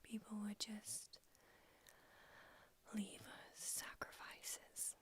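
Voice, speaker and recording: A woman whispering in an ASMR roleplay as an ancient, aloof female vampire doctor, unhurried and drily haughty. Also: medium pitch, animated, fairly bright tone. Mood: languid haughty. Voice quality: whispered throughout. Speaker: ASMR creator.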